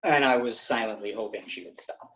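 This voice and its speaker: A man reading the part of a dry, wry teenage boy in a sitcom script, deadpan and halting. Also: on the low side, close to an everyday voice, poor audio. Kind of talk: script table read.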